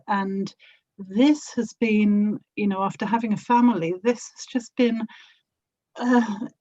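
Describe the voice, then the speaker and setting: A woman's voice: mid-range voice, warm tone, dry recording, muffled recording. A middle-aged woman, chatting casually as an interview guest on a podcast. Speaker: podcast guest, audio drama actor and producer.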